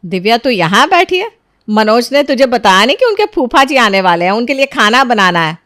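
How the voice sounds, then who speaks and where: A woman voicing a suspicious, probing mother-in-law in an animated story. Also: on the high side, brisk, dry recording, studio quality. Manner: probing, scolding.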